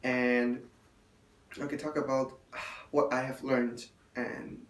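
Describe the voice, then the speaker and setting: A young man, a hotel intern and native Chinese speaker, giving a halting spoken evaluation in English with many fillers. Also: medium pitch, little pitch movement. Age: young adult. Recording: roomy, distant, faint room noise.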